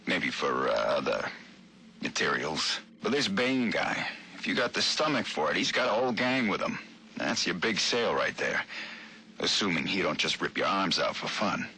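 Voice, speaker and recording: Laid-back gun dealer in a game, low voice with some bite, short casual phrases. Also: male voice, sing-song, moderate pace, sharp tone, slight background noise, exaggerated voice. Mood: matter-of-fact, shady. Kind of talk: video game voice acting, audio log.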